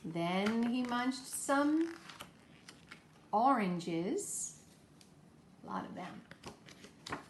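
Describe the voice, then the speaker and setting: A woman's voice: a little high, very animated, extremely slow, pauses often. A woman teacher talking to young children in a homemade lesson video, encouraging them with simple questions and explanations. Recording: echoey room, faint background noise.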